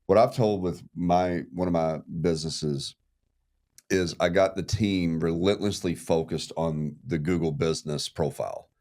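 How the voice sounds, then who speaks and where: A very deep-voiced man co-hosting a podcast lays out his view for the guest with earnest insistence, piling up examples as he goes. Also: unhurried, darkish tone. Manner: earnest, emphatic when giving advice. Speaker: podcast co-host. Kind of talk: casual interview chat.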